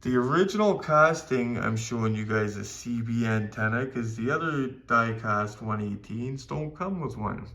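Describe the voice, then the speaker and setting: A man's voice: medium pitch, expressive, moderate pace, few pauses, a bit bright. A man with a Canadian accent hosting a casual collectibles review, talking straight to viewers with enthusiasm and repeating phrases for emphasis. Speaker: collectibles video host.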